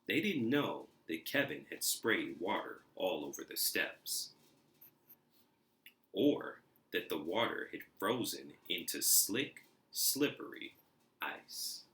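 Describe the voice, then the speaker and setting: A man reading a children's storybook aloud in an expressive, deliberate narrating style. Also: sits low. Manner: expressive, deliberate, acts out sounds. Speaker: storybook reader.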